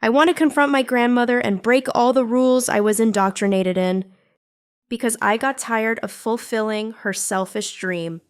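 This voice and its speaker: A woman's voice: fairly high pitch, fairly even intonation, normal speed, clear, resonant tone, no echo, clean studio sound, lightly acted. A woman voicing a bitter young female villain recruit, narrating her painful past in a scripted comic dub. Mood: resolute. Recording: music under the voice. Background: background music.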